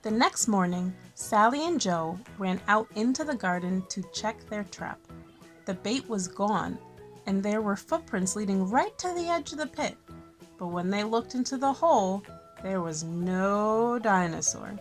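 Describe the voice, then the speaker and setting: A woman's voice: medium pitch, unhurried, soft background music. A woman hosting a children's story time, reading a picture book expressively with friendly asides and stressed key words.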